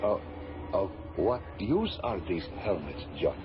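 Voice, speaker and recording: Slow, formal, courteous alien lord. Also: male voice, low voice, very animated, exaggerated voice. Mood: hesitant, wondering. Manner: halting, formal, measured phrasing. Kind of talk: old-time radio drama acting. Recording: dull radio recording over music.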